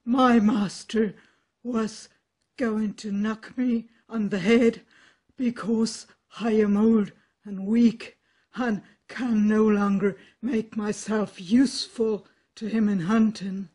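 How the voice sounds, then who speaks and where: An older woman with a German accent voicing an old, weak male dog loudly lamenting his lot. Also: medium pitch, steady tone, slow, breathy edge. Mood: complaining. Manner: loud, complaining lament.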